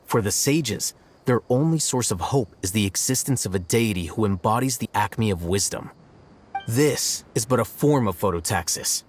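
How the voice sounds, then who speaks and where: An actor voicing a detached adult male scholar in a video game, speaking in a measured, analytical way. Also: lowish voice, expressive, slight background noise, close to an everyday voice. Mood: lecturing. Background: soft game music.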